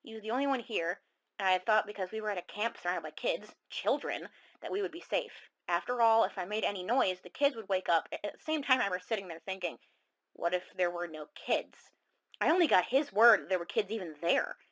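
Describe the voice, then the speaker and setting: A woman performs a shaken female caller on a horror radio show. She tells her own story in a confiding, suspenseful way, with a mid-pitched voice and dramatic delivery. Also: expressive, a little fast, a bit bright, natural voice, rough recording. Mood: uneasy. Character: shaken young woman caller recounting a monster encounter.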